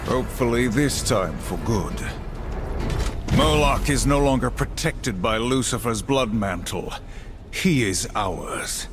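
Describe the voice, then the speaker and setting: A man's voice: medium pitch, slow, music in the background, natural voice. An actor playing a grim, stoic, vengeful horseman in a video game, speaking in a solemn, stern and terse manner. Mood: grimly satisfied. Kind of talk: video game hero acting.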